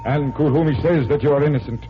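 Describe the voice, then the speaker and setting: An actor playing a commanding stage magician detective in 1940s radio style, questioning with urgent authority. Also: male voice, fairly high pitch, fast talker, close to an everyday voice. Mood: confronting. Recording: dull, noisy 1940s radio recording.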